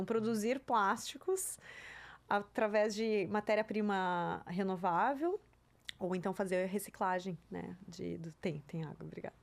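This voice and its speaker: A woman in her thirties, a native German speaker, chatting reflectively as an interview guest and often tagging her sentences with "né?". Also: mid-range voice. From southern Brazil. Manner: reflective, tags sentences with "né?", light laughs. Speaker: interview guest, career mentor. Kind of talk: casual interview chat.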